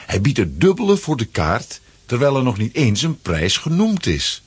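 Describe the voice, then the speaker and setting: Husky, animated male storyteller. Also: mid-range voice, normal speed, no room sound. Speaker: narrator. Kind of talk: scripted storytelling for a children's audio play. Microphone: close, dry studio microphone.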